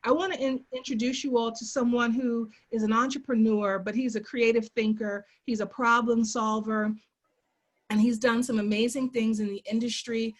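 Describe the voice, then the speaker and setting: A woman's voice: fairly high pitch, flat delivery, moderate pace. A woman hosting a webinar on a video call, upbeat and encouraging as she introduces the next speaker. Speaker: webinar host. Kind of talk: casual introduction.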